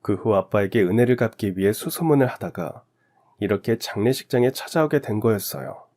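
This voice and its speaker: A man's voice: on the low side, expressive, a little fast, mellow. A man narrating a scripted first-person story in radio drama style.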